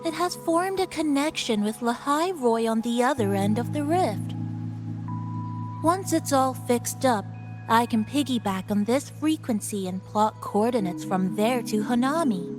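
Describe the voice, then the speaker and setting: An actress playing a clever, helpful, playful tinkerer in a video game. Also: female voice, on the high side, expressive, measured pace, music in the background. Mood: confident, explaining.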